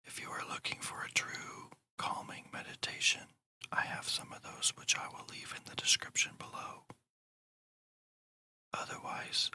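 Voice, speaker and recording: Casting: ASMR meditation guide who whispers throughout, crisp and breathy, with slow, deliberate, soothing delivery. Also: bright, forceful tone. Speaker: ASMR channel host and meditation narrator.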